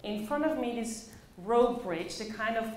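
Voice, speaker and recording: A woman with a Dutch accent gives a storytelling talk in a highly reverberant room. Her voice sits on the high side, and she speaks expressively with dramatic pauses. There is slight noise behind her. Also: animated, a little mellow. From Netherlands. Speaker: conference speaker, play facilitator. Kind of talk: personal storytelling talk to a live audience. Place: echoey indoor conference room. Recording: very echoey room, faint background noise.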